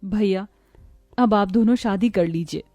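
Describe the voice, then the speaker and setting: A woman's voice: medium pitch, measured pace, warm tone, no room sound, dull audio, soft background music, lightly acted. A woman voicing two poor young sisters in a cartoon, talking in a pleading, emotional way. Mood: tender. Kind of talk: cartoon voice acting.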